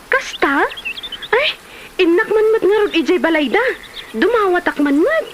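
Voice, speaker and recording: A woman's voice: very high voice, big pitch swings, normal speed, a little hiss or hum. A woman with an Ilocano Filipino accent acting a chatty, envious village wife in a comedy drama. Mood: envious, curious.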